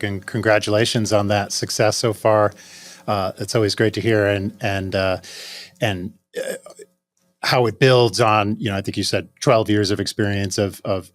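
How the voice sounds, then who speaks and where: A man's voice: lowish voice, dry recording. A man hosting a podcast interview, asking questions in a friendly, encouraging way. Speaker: podcast host.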